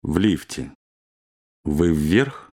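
A man reading a scripted language drill, measured and clear. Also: a bass voice, sing-song, darkish tone. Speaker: language course speaker.

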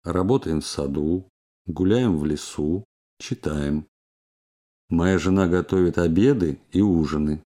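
A man reading scripted phrases and questions for a language course, slowly and with careful, clear enunciation. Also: low voice, mellow.

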